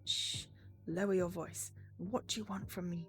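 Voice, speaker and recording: Woman reading as blunt, low-voiced defiant heroine. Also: fairly even intonation, moderate pace, faint music behind, lightly acted. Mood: guarded. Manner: blunt, suspicious. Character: defiant, guarded young female captive in a cyberpunk game.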